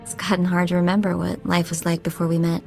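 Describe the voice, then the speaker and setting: A sassy young hacker from a sci-fi game, her voice slightly low. She is wry and casual, tough but affectionate, with faint music underneath. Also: flat delivery, fast, vocal fry, darkish tone. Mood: playful, affectionate. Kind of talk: video game dialogue.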